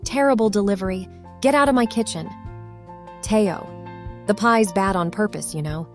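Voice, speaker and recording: An actress playing a blunt, protective sister in an audio drama, dry and insistent, wry but worried. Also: female voice, medium pitch, moderate pace, soft background music, close to an everyday voice. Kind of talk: dramatic audio fiction dialogue.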